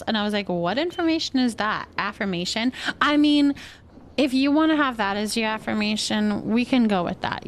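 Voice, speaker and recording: A woman with a fairly high voice hosts a gaming livestream. She talks casually, thinking out loud as she plays and chats to viewers. There is clear noise behind her voice. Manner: chatty, thinking aloud. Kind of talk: casual chat while playing a building game.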